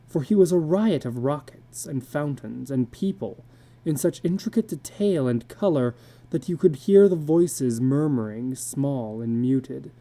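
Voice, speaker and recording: A man narrating a literary story as a dramatic reading, with measured, deliberate phrasing. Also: medium pitch, mellow.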